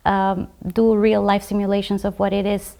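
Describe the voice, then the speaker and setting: A woman from Guatemala, a graduate student, talking about her study program in a measured way, with frequent fillers and restarts. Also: mid-range voice, completely flat delivery, creaky, dark tone. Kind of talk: conversational program overview.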